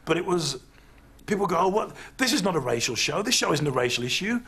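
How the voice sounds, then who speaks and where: A man's voice: fairly high pitch, sing-song, quick pace, a bit bright, breathy edge. A man in an interview, recalling the past and slipping into quoted voices. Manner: storytelling, slips into voices. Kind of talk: reflective interview.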